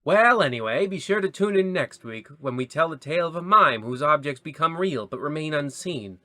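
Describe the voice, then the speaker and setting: An actor playing a wry, disdainful radio anthology narrator with a deadpan, mock-solemn sign-off style. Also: male voice, fairly high pitch, moderate pace, no room sound, clean studio sound.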